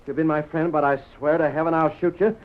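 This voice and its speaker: Man acting an earnest, high-voiced wanted cowboy. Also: fairly even intonation, quick pace, lightly acted. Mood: resolute. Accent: American. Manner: earnest, rustic cowboy speech. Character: wanted cowboy, man, torn but decent and plain-spoken. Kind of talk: old-time radio western acting. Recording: dull, muffled old radio recording.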